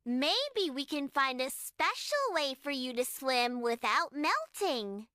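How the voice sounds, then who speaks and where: An excited, giggly little girl. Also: sits high, slow pace, no room sound, clean studio sound, full character voice. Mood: eager. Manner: giggly, proud.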